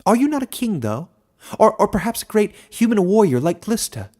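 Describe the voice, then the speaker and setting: A man voicing a small, timid hedgehog creature in a squeaky, halting, eager voice for a fantasy story. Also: big pitch swings, darkish tone. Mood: eager awe. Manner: halting, unsure.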